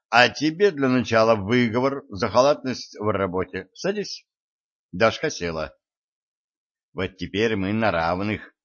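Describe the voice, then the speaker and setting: A man narrating an audiobook, reading the dialogue with small shifts for each character. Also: medium pitch. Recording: dull lo-fi audio.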